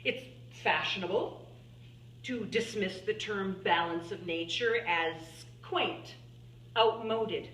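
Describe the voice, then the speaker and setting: A woman's voice: medium pitch, pushed and bright. A woman playing an earnest scientist and writer, giving a formal, emphatic speech to lawmakers in a dramatic monologue. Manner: deliberate, emphatic, oratorical. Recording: echoey room, distant mic, faint hum.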